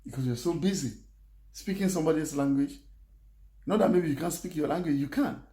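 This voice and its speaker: A man's voice: a little high, brisk, warm tone. Earnest male language teacher, echoey room. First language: Yoruba. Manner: earnest, repetitive, encouraging. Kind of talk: spoken language lesson.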